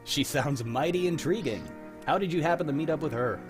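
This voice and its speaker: A man playing a hearty 1940s radio announcer and pitchman, with a folksy, enthusiastic selling manner. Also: fairly quick, music in the background, close to an everyday voice. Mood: friendly, interested.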